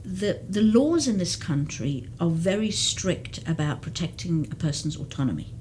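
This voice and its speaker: A woman's voice: on the low side, animated, moderate pace, darkish tone, a little hiss or hum. A woman who works as a geriatric case manager, explaining at length in an interview with frequent restarts. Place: community TV studio.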